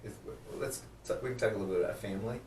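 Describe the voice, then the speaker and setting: A man's voice: on the low side, rapid-fire, a little mellow, echoey room, recorded from a distance, slight background noise. Laid-back man in his thirties. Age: 30s. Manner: easygoing, laughs often, agreeable. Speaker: podcast guest, sales manager. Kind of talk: casual chat.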